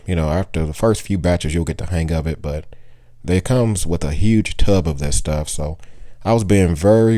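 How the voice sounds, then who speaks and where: Deep, dark-voiced casual man. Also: no echo, studio quality. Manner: relaxed, chatty, gives tips with small laughs. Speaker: aquarium hobbyist vlogger. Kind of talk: casual how-to voice-over.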